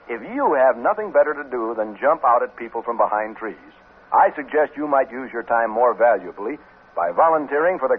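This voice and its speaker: A 1950s male radio announcer delivering a scripted promo with polished salesmanship. His pitch moves widely and the voice is slightly husky. The recording is narrow and muffled like an old broadcast.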